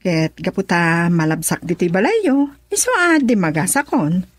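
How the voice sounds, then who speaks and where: A woman's voice: on the low side, expressive, moderate pace, slightly rough, no room sound. A woman with an Ilocano Filipino accent playing a polite, sweet-mannered young woman in a radio drama. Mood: polite, eager.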